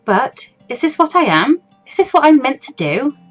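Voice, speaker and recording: Woman acting anxious teenage girl, swinging high and flustered. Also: very animated, normal speed. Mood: gloomy, doubting. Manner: hesitant, stammering, over-thinking. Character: anxious, awkward fourteen-year-old schoolgirl, self-doubting. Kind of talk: visual novel character reading. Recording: music behind the voice.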